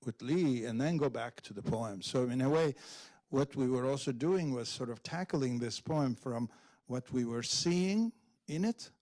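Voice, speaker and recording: A man's voice: mid-range voice, measured pace, a little mellow. Male panel speaker, mid-range voice, searching and discursive, in a live discussion after a reading. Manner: hesitant, searching, often says "in fact" and "right". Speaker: speaker discussing a poetry translation. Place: public event room at a library.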